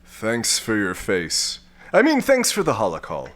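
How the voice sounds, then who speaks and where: A man's voice: on the low side, wildly swooping pitch, a little slow, fairly bright tone, exaggerated voice. A man playing a bumbling, lovestruck, pompous space lord with a theatrical, stammering manner in a comic sci-fi audio drama. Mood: smug, smitten.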